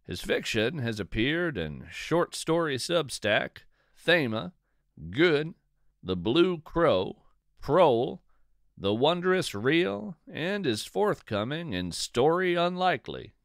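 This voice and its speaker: A man reads literary narration in a measured, deliberate way. His pace is slow, and his pitch moves with lively expression. The recording is dry, with very little room sound around the voice. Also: gravelly, no echo. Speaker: podcast host and narrator. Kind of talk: scripted narration, poetry reading and storytelling.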